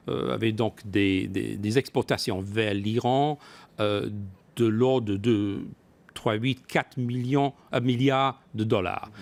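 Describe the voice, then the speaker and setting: A man speaking as an expert guest in a broadcast business interview, often pausing to rephrase and repeat his words. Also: mid-range voice. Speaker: business expert guest, former company executive.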